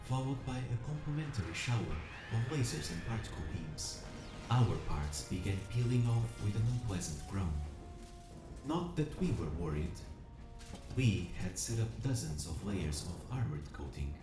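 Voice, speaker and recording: A man voicing a vast collective machine intelligence in an audio drama, narrating in a solemn, measured, formal and coldly analytical manner. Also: on the low side. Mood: detached. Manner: measured, formal, speaking as a plural we. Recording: roomy echo with music behind.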